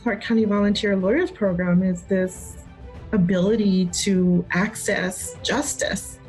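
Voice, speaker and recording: A woman's voice: mid-range voice, steady tone, measured pace, a little mellow, faint music behind, rough recording. Heartfelt woman reflecting on a program. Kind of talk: documentary interview.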